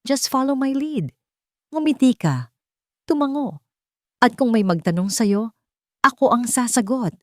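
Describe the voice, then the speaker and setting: A woman's voice: a little high, expressive, measured pace, long pauses, a little mellow, no echo, studio quality, voice pushed up. A woman playing a wealthy male CEO who speaks in a cold, controlled, insistent manner in an audio romance. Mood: quiet, commanding.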